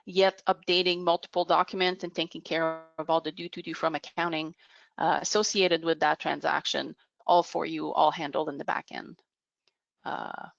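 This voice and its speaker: Woman webinar presenter, lowish voice with gravelly fry, unscripted explanatory delivery, dry and slightly muffled audio. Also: level tone, normal speed, no echo. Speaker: webinar presenter giving a software demo. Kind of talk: unscripted software demo narration.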